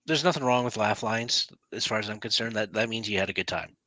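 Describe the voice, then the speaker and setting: A man's voice: lowish voice, quick pace, pushed and bright, dry recording. A Canadian man hosting an interview, asking easygoing questions. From Calgary, Canada. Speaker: host. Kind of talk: casual interview chat.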